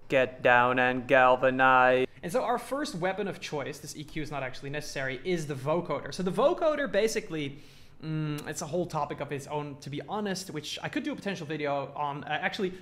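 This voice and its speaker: A man hosting a music production tutorial in a casual, informal and enthusiastic way. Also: fairly high pitch.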